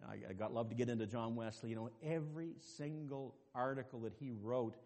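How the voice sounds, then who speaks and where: A man preaching a sermon as pastor, dropping to quiet asides and rising to loud, emphatic calls to the congregation. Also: medium pitch, fairly even intonation, a little fast. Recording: long reverb with faint music behind.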